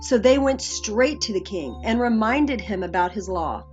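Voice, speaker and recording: Female Bible story narrator, medium pitch, scripted read-aloud, dull recording with soft music. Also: animated, normal speed. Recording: muffled audio with faint music.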